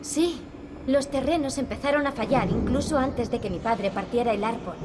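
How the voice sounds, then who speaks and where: An actress playing an earnest, determined young princess in a video game. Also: female voice, a little high, moderate pace, very noisy, close to an everyday voice. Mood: remorseful. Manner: earnest, serious. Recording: loud game music behind. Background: music.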